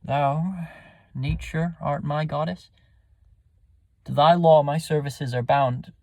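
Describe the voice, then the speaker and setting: A man's voice: fairly high pitch, steady tone, takes it slowly, darkish tone, dry recording. A man playing a resentful, ambitious younger son, declaiming theatrically in a Shakespearean soliloquy. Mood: defiant. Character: resentful, ambitious bastard son, defiant and scheming.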